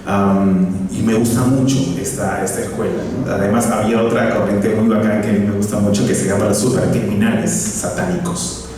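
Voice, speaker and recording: A man's voice: lowish voice, fairly even intonation, normal speed, background noise. A man, a comic book writer speaking on a conference panel, hesitant, with many fillers and restarts. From Peru. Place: conference hall. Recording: echoey hall, voice from far off.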